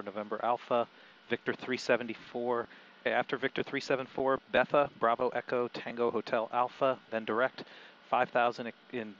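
A middle-aged man talks through an aviation headset, muffled, with light noise behind him. He sounds like a pilot, clipped and matter-of-fact, with an average-pitched voice. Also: a bit bright. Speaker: pilot and vlogger. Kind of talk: cockpit narration and radio readbacks. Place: small airplane cockpit. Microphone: aviation headset boom mic.

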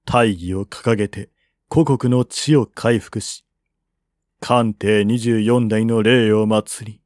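A man voicing a calm, grave strategist of a fallen kingdom in formal, measured audiobook dialogue. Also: lowish voice, no echo, clean studio sound. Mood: solemn, resentful.

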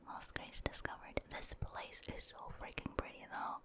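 Female gaming ASMR host: fully whispered, breathy delivery, casual rambling commentary as she plays. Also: normal speed. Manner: casual, rambling, thinking aloud.

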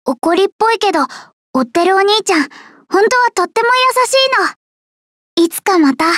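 A sweet, childlike young heroine with an extremely high voice. She talks in a soft, cutesy way, like a young girl. The sound is dry and close to the mic. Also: animated, quick pace, no room sound. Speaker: game character. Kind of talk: game voice clips. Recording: dry studio recording.

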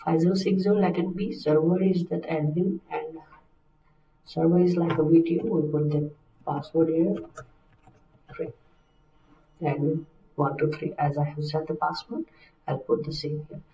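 Guy doing a software walkthrough, soft dark tone, halting step by step, echoey and a bit far from the mic. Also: a little high, steady tone. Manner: step-by-step instructional, halting phrasing. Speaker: technical instructor. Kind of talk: screen-recorded software tutorial narration. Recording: roomy, distant microphone.

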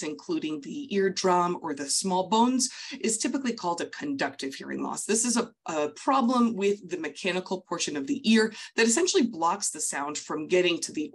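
A woman audiologist with a low voice, giving a clear and patient explanatory webinar talk over slides on a video call. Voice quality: low-pitched voice.

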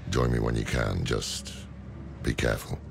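Weary old demon-hunting scholar, wry and grave, extremely low and heavily creaky, game drama. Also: male voice, moderate pace, background noise, full character voice. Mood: earnest. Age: older. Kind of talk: dramatic video game dialogue and narration.

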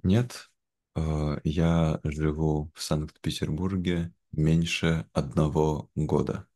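A young man with an extremely low voice talks at a slow, measured pace. His phrasing is simple and clear, as in conversation meant for language learners. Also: level tone, dark tone. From Veliky Novgorod, Russia. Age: young adult. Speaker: interview guest, language teacher. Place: indoor room, video call. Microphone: video call, wearing headphones.

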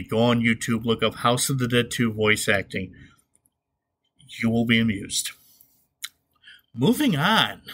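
A man's voice: mid-range voice, a bit bright. A middle-aged man hosting a solo comedy podcast, talking in a sarcastic, conversational way with some swearing. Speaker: podcast host.

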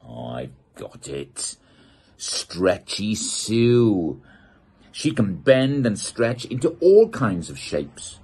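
A middle-aged man from the United Kingdom reading a children's picture book aloud, with a playful, expressive storytelling manner, filmed outdoors in a garden gazebo by a camera at desk distance. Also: on the low side, drawn-out words, breathy edge.

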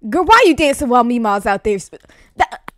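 A woman improvising a comic online game roleplay, bossy and excitable, talking in slang. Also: on the high side, brisk, no echo, clean studio sound. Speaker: roleplay video player.

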